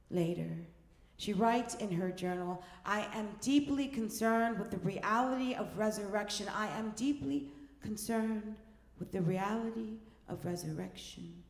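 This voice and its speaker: A woman narrating a literary story as a live reading, with measured, deliberate phrasing and pauses. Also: mid-range voice, gravelly, darkish tone. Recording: echoey hall with long reverb.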